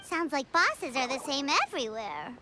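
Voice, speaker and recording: A woman playing a small, excitable fairy companion in a video game, chirpy, childlike and prone to exclaiming. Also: high voice, normal speed, heavy background noise. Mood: chatty. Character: small, excitable female fairy companion who speaks in third person. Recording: loud background music.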